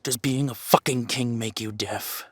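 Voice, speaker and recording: A man's voice: mid-range voice, steady tone, unhurried, husky, no room sound. A man acting a menacing, seductive villain king in a roleplay audio drama, with a theatrical, commanding drawl. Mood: threatening fury.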